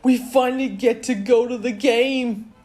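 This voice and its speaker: A man voicing an excitable, awestruck young son in a children's story. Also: very high-pitched, unhurried, soft background music, voice pushed up. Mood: overjoyed.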